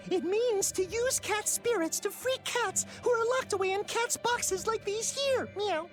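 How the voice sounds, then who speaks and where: A tiny, extremely high-voiced cat girl creature, chatters in a cartoonish game style. She is cutesy and perky, wheedling for help. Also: unhurried, over music. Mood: perky, chatty. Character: small cute female cat spirit creature, chatty, pleading. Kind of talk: cartoonish video game voice acting.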